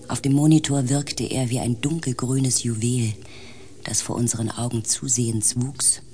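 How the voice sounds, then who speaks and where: An actress playing a restrained space expedition coordinator who narrates in a composed, formal way in a radio drama. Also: female voice, extremely low pitch, a little mellow. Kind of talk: scripted first-person radio drama narration.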